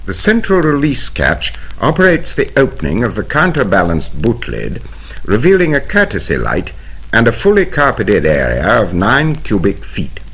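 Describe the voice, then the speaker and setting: Casting: British male narrator for a vintage training film, lowish husky voice, formal and precise, 1970 vinyl record sound. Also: wildly swooping pitch, a bit bright, poor audio. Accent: British English. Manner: formal, precise, measured explanatory delivery. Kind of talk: scripted instructional narration.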